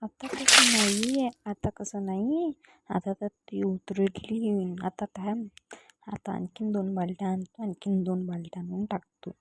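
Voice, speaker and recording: A woman's voice: mid-range voice, fairly even intonation, unhurried, vocal fry, noisy background. A woman with a Varhadi Marathi accent voicing a bossy, stern village mother who scolds in an amateur cartoon comedy. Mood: threatening. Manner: scolding, repetitive commands.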